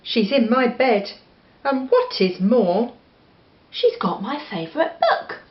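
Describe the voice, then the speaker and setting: A woman as indignant little storybook bear, English accent, high exclaiming voice, echoey room. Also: wildly swooping pitch, slow pace, exaggerated voice. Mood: outraged. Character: indignant bears finding an intruder, including Baby Bear. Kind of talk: children's storybook character voice. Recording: echoey room, slightly dull sound.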